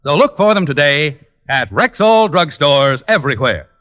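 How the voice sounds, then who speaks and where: A man's voice: on the high side, big pitch swings, moderate pace, over music. A male radio announcer delivering a polished, emphatic scripted commercial in 1940s style.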